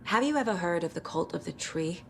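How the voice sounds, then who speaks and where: An actress playing a composed female investigator who questions a witness briskly, recapping the facts point by point. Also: lowish voice, expressive, creaky, soft background music. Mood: probing.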